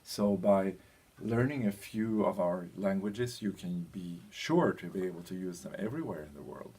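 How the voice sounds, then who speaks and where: A European man giving an informal explanatory lecture as a teacher, speaking in halting phrases with pauses and a husky voice. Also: low-pitched, animated, mellow.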